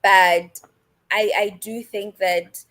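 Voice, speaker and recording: A woman's voice: medium pitch, steady tone, slow pace, fairly bright tone. A woman adding tentative, hedging points in a casual live-stream discussion. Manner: tentative, hedging, many fillers. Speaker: discussion panelist.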